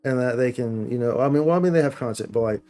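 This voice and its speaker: A man's voice: medium pitch, fast, warm tone, soft background music. A man chatting casually on a live gaming stream, slangy and playful, rambling and often repeating his phrases. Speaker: game streamer, host.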